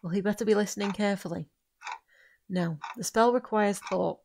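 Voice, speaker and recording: A woman's voice: mid-range voice, moderate pace, gravelly, a little mellow, a little hiss or hum, lightly acted. A woman playing a composed, bossy young witch in an audio drama, speaking in firm, formal, instructive phrases. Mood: firm instruction.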